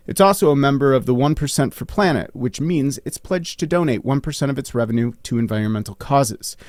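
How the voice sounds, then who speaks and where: A man's voice: mid-range voice, fairly quick, a little mellow, dry recording. A man hosting a podcast, reading a polished, upbeat introduction and then asking interview questions. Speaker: podcast host.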